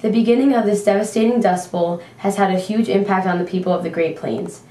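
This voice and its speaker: A woman's voice: mid-range voice, fairly even intonation, a little fast, dark tone. A woman reporter delivering a scripted amateur news report. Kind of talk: scripted amateur mock newscast. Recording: echoey room, distant mic.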